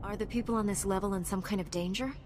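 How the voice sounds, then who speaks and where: An actress playing a calm, helpful female knight hero in a video game, composed and sincere. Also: mid-range voice, fast, noisy background, close to an everyday voice. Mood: resolute. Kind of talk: video game character acting.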